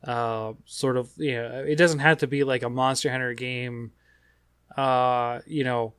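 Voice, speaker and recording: A man hosting a casual gaming podcast, talking in a rambling, halting way. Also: medium pitch, fairly even intonation, fairly bright tone, clean tone, no room sound, clean studio sound. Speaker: podcast host.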